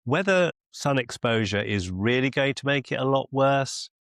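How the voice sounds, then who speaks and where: A man's voice: mid-range voice, animated, moderate pace, a bit bright, no room sound, clean studio sound. A middle-aged man mentoring in a conversational, reassuring, explanatory way. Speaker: medical mentor.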